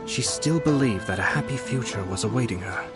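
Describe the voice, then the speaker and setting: A man voices a courtly young lord from an anime game. His voice is on the low side, measured and formal, shrewd and unruffled, with music playing behind him. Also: lightly acted. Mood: grave, reflective. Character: composed, courtly young nobleman, shrewd and unflappable commissioner. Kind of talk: anime-style game voice acting.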